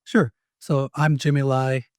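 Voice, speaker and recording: A man from Taiwan, an attorney, telling his story as an interview guest in a measured way. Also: mid-range voice, flat delivery, a little mellow, dry recording, studio quality. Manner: measured, with frequent "you know" fillers. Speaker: attorney and law firm owner.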